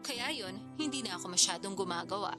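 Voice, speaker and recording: A woman's voice: medium pitch, lively intonation, brisk, lightly acted. An actress playing a modest, chatty single mother in her thirties in a radio drama. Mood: wistful. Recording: clear music bed behind dialogue.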